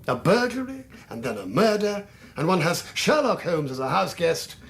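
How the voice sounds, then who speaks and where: An actor playing a fretful, polite elderly gentleman in a radio drama. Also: high voice, big pitch swings, normal speed, vocal fry, heavily acted.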